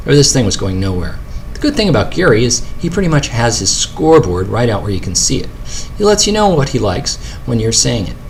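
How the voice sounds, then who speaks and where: A man's voice: mid-range voice, gravelly, a little hiss or hum. A middle-aged American man reading his own first-person memoir as scripted audiobook narration.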